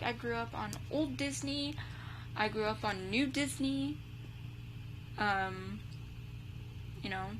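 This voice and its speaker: A young woman chatting casually as a vlogger, rambling with lots of "like" and "um". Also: medium pitch. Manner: chatty, full of "like" and "um" fillers. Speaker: vlogger reacting to a video. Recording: steady background hum.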